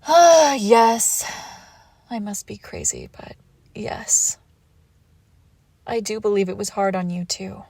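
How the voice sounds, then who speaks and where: Slow, gravelly seductive villainess, acted by a woman. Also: medium pitch, lively intonation, darkish tone, no room sound, heavily acted. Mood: wounded, longing. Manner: deliberate, breathy, drops into whispers, commanding. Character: seductive, menacing female villain crime boss, wounded ex-lover. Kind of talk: intimate scripted audio roleplay.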